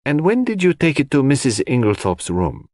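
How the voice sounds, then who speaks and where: A man voicing a calm, courteous detective who questions people precisely in an audiobook mystery. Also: mid-range voice, normal speed, no echo, studio quality, voice pushed up. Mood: calm, probing.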